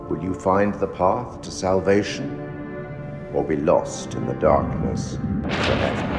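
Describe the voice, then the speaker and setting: Slow, ominous keeper of tales. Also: male voice, deep voice, exaggerated voice. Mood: ominous, grave. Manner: grave, deliberate, cryptic, formal. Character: mysterious, ominous male curator and keeper of tales. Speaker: narrator addressing the player. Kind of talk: theatrical game narration. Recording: echoey hall with loud music behind.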